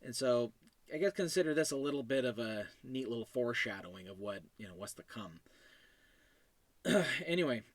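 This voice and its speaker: Casual, rambling man, low-key podcast host. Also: lively intonation, moderate pace, no echo. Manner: self-deprecating, digressive, chuckling. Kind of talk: casual rambling solo talk about own fiction.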